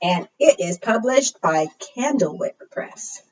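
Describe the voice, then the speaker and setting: A woman leads a storytime for young children with an unhurried, sing-song delivery. Her pitch swings widely as she reads rhymes and asks simple questions. The sound is slightly dull, as if the microphone sits some way off. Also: animated, darkish tone. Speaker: children's librarian leading preschool storytime. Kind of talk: read-aloud storytime with counting rhymes. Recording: muffled, distant microphone.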